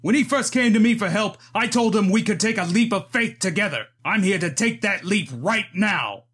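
A man's voice: high voice, animated, moderate pace, husky, full character voice. A man playing an earnest, pleading psychiatrist with a dramatic, urgent manner in a comic book dub. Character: earnest, pleading male psychiatrist, desperate and scheming. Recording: loud music bed behind the voice.

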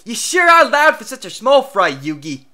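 A woman's voice: a little high, sing-song, moderate pace, pushed and bright. A woman playing a brash, hot-tempered teenage schoolboy bully in an anime fan dub, with a cocky, sneering, slangy delivery. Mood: mocking, bored. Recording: background music under dialogue.

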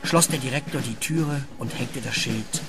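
Man, medium-pitched voice, children's story narrator, scripted delivery, clear music behind. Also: moderate pace.

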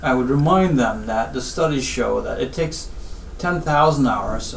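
A middle-aged man from Guyana, a surgeon giving advice in an interview in measured, deliberate short phrases with pauses. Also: mid-range voice, expressive, creaky. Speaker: spine surgeon, interview guest. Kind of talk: interview answers, health advice. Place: small office, seated at desk. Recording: roomy, distant mic, faint background noise.

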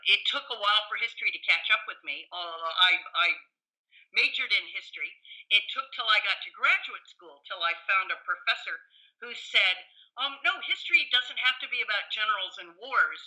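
A woman in her sixties speaks as a professor being interviewed. Her voice is very bright and forceful, and she tells her stories in an animated, conversational manner. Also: medium pitch, moderate pace, poor audio. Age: 60s. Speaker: professor and author, podcast guest. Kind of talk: conversational interview, personal anecdotes.

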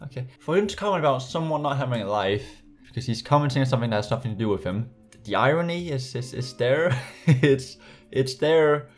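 A man's voice: mid-range voice, animated, brisk, soft background music. Sarcastic young Danish man vlogging. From Denmark. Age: young adult. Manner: sarcastic, laughing, rambling with restarts. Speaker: YouTuber. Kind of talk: casual vlog reading comments. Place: small bedroom, indoors. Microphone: USB desk mic.

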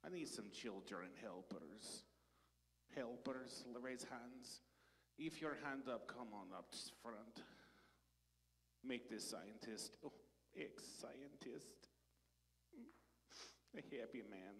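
A man's voice: medium pitch, slow, pauses often, slightly rough, full character voice. A man playing a bumbling foreign ex-scientist in a big hall. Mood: deadpan. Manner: broken English without articles, deadpan, sighing. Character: bumbling foreign male ex-scientist in a church skit. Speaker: children's skit performer. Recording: long hall reverb.

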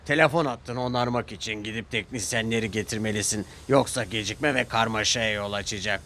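A man voicing a serious, authoritative railway controller in a children's story, giving orders gravely. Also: fairly low pitch, brisk, fairly bright tone, a little hiss or hum. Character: stern, serious male railway controller in charge.

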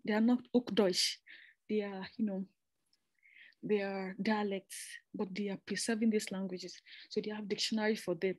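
A woman's voice: medium pitch, flat delivery, moderate pace, no room sound. A young woman from Nigeria giving an informal slide presentation, chatty and conversational, often restarting phrases with asides. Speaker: presenter explaining a master's program.

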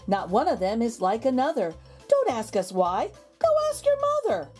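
A woman reading a picture book aloud to young children, with expressive, exaggerated intonation and drawn-out words. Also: a little high, slightly rough. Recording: background music under the voice. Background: music.

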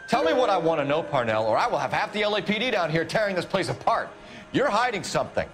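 An American actor playing an earnest, stern young police detective in a video game, with a clipped, interrogating manner. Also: male voice, lowish voice, very animated, fairly quick, faint music behind. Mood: accusing, stern. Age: young adult.

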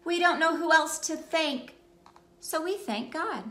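A woman voicing grateful, excited village children in a Christmas storybook, exclaiming earnestly. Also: very high voice, a little slow, pitched above the performer's own voice, exaggerated voice. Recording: roomy indoor echo.

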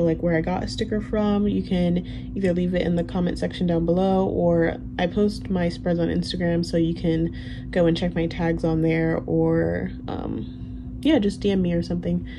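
A young woman in her twenties whose first language is Haitian Creole, chatting casually in a relaxed, rambling way full of ums and likes. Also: lowish voice, moderate pace. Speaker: planner vlogger. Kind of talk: casual Q&A chat over a plan-with-me. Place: indoors at a desk. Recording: audible background noise.

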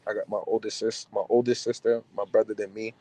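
A man's voice: on the low side, level tone, fast talker, dark tone, no echo. A young man from New Jersey talks with a guest's easy, slangy looseness, trailing into fillers and restarts. He speaks on a video call from inside a car, the sound coming through a phone held at arm's length. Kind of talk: casual chat. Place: car interior. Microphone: phone camera at arm's length on video call.

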